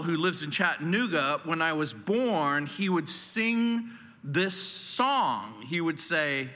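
An American man in his sixties from New Orleans, preaching as a guest pastor with deliberate pauses and folksy, dry humour. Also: a little high, sharp tone. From New Orleans, southern United States. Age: 60s. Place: large indoor hall. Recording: echoey hall, long reverb.

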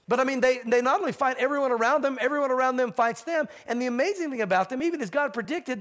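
A pastor preaching from the pulpit, a man with a strikingly high voice. He drives his points home by repeating key phrases in an emphatic way. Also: fairly bright tone. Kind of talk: sermon.

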